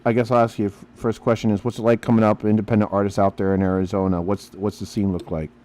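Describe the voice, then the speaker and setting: A man's voice: fairly low pitch, level tone, quick pace, mellow. A man from Pennsylvania hosting a radio interview, rambling and getting preachy between his questions. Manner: rambling, preachy, lots of fillers. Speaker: radio host. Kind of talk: casual interview chat.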